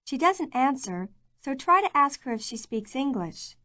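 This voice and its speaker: Clear, measured woman narrating a language course. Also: high voice, sing-song, pushed and bright, no echo, studio quality. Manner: clear, measured, prompting the learner. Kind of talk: scripted instructional narration.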